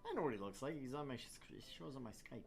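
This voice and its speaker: A man's voice: medium pitch, expressive, racing, creaky. A man co-hosting a gaming livestream with casual, jokey banter. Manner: jokey, playful. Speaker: livestream co-host.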